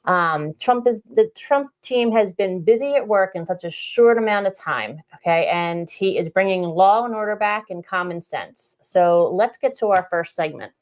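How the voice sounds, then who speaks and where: A woman hosting a political podcast, giving casual commentary in a halting, deliberate way. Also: medium pitch, expressive.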